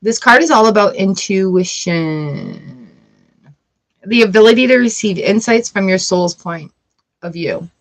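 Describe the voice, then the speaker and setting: A woman with a voice of ordinary pitch talks through a tarot reading in a loose, casual way. She reads the card text aloud and then drifts into chatty, playful asides. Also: expressive, unhurried, long pauses. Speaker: tarot reader.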